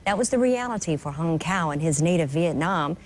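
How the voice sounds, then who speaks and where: A woman news reporter delivering scripted narration in a smooth, measured way. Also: low-pitched, expressive, a little hiss or hum.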